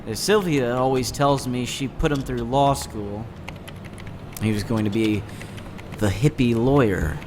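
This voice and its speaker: A man's voice: mid-range voice, background noise. A man playing a gloomy, sardonic teenage boy in a comic dub, with a dry, jaded, matter-of-fact delivery. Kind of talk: scripted comic-dub acting, first-person narration and dialogue.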